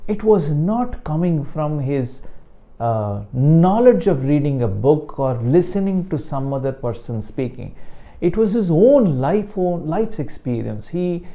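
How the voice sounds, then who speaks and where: An older man from South India with a Tamil-accented English, a meditation teacher speaking slowly and deliberately about his guru. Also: a little high, sing-song, dull audio. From Chennai, South India. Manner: slow, deliberate, emphatic on key words. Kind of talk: reflective talk to camera.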